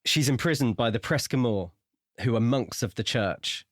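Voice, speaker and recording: A man's voice: medium pitch, expressive, normal speed, dry recording, clean studio sound. A man co-hosting a book podcast, talking through stories in a casual discussion style. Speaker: podcast co-host.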